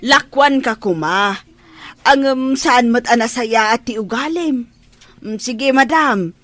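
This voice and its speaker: An actress in a radio drama playing a persistent fish vendor who talks in a pleading sales manner. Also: female voice, on the high side, slow pace, fairly bright tone, no room sound. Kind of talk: Ilocano radio drama acting.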